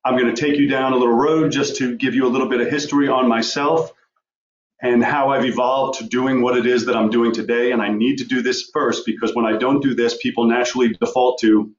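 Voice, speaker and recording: A man from Long Island with a faint New York accent, giving a fast, informal webinar presentation over a video call. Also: mid-range voice, fairly even intonation. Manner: fast-talking, informal and direct. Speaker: guest speaker. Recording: echoey, dull video call audio.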